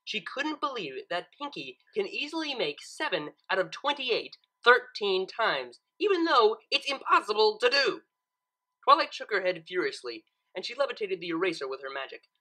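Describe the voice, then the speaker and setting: A woman reading a fan fiction story aloud as an expressive narrator. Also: medium pitch, normal speed, poor audio.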